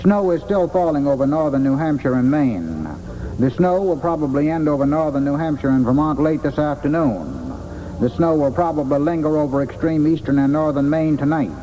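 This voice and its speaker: A man's voice: fairly high pitch, brisk, mellow, low-quality recording. An American man reading a scripted weather forecast for an old radio broadcast. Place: airport weather office. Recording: old radio relay with faint noise.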